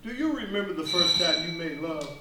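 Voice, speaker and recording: A stage actor playing an earnest, weary male civil rights leader with a measured, reflective delivery, recorded in an echoey hall. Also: on the high side, lively intonation, close to an everyday voice. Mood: teasing, nostalgic. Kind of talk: live stage play acting. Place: indoor stage performance, distant microphone. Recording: echoey hall from far off, noisy.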